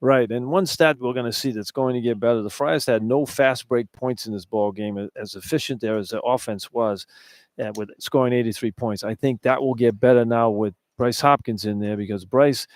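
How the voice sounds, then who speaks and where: A man's voice: mid-range voice, steady tone, moderate pace, dry recording. Male sports radio analyst, dry close sound.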